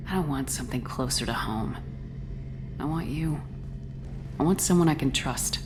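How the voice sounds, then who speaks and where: A low-voiced, direct space commander. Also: female voice, normal speed, noisy background. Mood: sincere, direct. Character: confident, direct female space soldier commander. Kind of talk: video game dialogue acting.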